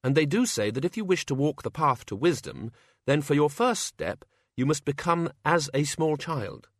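A man's voice: fairly high pitch, animated, moderate pace, no room sound, voice pushed up. Man reading as a dry, sensible woman. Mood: dry resignation.

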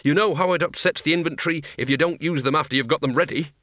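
A man's voice: a little high, quick pace, a bit bright, dry recording, clean studio sound, pitched above the performer's own voice, lightly acted. A man voicing an eager, gung-ho wizard dean in an audiobook, boyishly enthusiastic yet deferential to his chief. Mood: eager pleading.

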